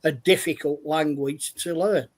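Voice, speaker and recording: A man with a high voice chimes in with blunt, thoughtful remarks during a relaxed group chat. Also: unhurried.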